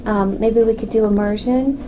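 A woman planning a lesson with her group, hesitant and filling pauses with ums, often asking what others think. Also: a little high, steady tone. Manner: tentative, full of ums, asks others' views. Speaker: language learner. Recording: clear background noise.

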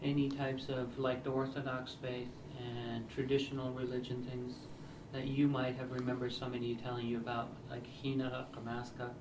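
A man in his thirties explains an interview project with halting, filler-heavy speech. He sounds distant from the mic in a roomy space, with clear background noise. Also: medium pitch, completely flat delivery. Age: 30s. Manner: hesitant, many fillers. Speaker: interviewer and camera operator. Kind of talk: casual interview setup. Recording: echoey room, distant noisy mic.

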